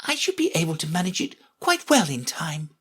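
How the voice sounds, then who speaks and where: A woman's voice: fairly low pitch, very animated, brisk, a bit bright, breathy edge, pitched above the performer's own voice, heavily acted. A woman voicing an eager, polite, earnest little girl who likes to argue, in a children's storybook. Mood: self-important.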